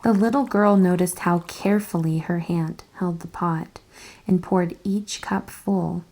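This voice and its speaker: A woman narrating a children's storybook aloud in an expressive, careful storytelling manner. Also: lowish voice, unhurried, poor audio.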